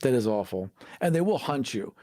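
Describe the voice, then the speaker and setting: A man talks casually as a podcast host. His voice is medium in pitch and recorded very dry. He is blunt and opinionated, repeating phrases to drive them home. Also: sing-song, a little fast, dark tone, dry recording. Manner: opinionated, blunt, emphatic repetition.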